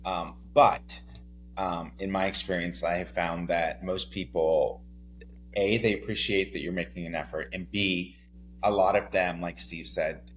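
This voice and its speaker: A man speaking as a podcast guest in a casual conversation, unhurried and hesitant, with many pauses and fillers. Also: low voice, a little hiss or hum.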